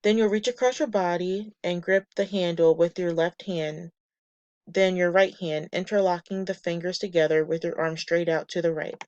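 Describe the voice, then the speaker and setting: A woman presenting a class lesson from slides, a bit nasal and raspy from a cold, reading steps and lists in a measured way. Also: fairly low pitch, steady tone, a little mellow, dry recording, dull audio. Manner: measured, reads steps and muscle lists methodically. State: getting over a cold, slightly nasal and raspy. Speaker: student presenter.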